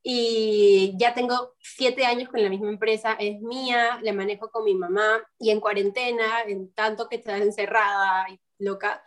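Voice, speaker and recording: A woman in her twenties from Peru, talking through her story in a relaxed interview. She tells it with animation and acts out the voices of the people she quotes. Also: mid-range voice, moderate pace, hardly any pauses. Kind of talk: casual interview chat.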